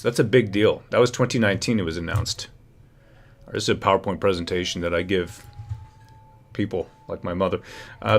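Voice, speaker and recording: A man with a low voice hosts a live commentary stream and presses his points hard to the audience. He sounds close to the mic. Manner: emphatic, talks straight to viewers. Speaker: livestream host. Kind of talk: political commentary livestream.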